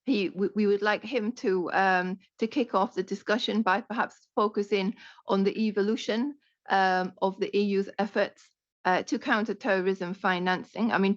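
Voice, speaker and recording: Halting woman moderating a panel. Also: mid-range voice, no room sound. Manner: polite and halting, with many ums and uhs. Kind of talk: moderating a policy dialogue. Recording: dull, dry conference audio.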